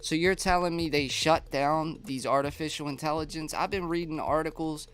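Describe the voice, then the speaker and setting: A man's voice: fairly high pitch, steady tone, moderate pace, a bit bright, clean tone, faint music behind. A man with a stuffy nose hosting a casual live stream, chatting and reading viewer comments aloud.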